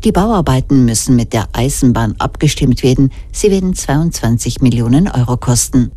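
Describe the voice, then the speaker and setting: Female news reporter, dark mellow tone, factual scripted radio report. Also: rumbling low, animated, normal speed, no echo.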